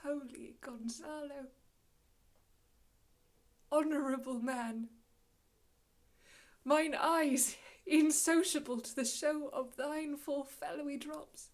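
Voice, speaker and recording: A solemn, commanding aging sorcerer played by a woman delivers a classical Shakespearean monologue. The voice sits fairly high and moves very slowly, with weighty pauses and a measured, theatrical shaping of each phrase. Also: fairly even intonation. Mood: solemn, tender. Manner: measured, dramatic, long pauses, swings from hushed to declaiming.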